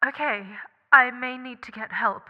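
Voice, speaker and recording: Woman as an anxious, high-voiced rescuer, slightly muffled. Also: normal speed, lightly acted. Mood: worried, reassuring. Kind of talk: scripted audio drama acting. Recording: dull, muffled lo-fi sound.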